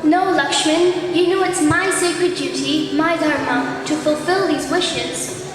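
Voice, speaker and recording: A virtuous, respectful young prince acted by a female performer, high in pitch. The earnest stage delivery is far from the mic in a big echoing hall. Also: takes it slowly. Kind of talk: school stage play acting. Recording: echoey gym hall, distant, music behind.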